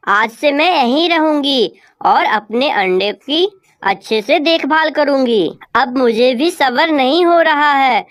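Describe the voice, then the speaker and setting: A woman voicing a gentle, soft-hearted female crow wife in a children's cartoon, speaking respectfully and pleadingly. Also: high-pitched, no echo, clean studio sound, exaggerated voice. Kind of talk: Hindi children's cartoon dialogue.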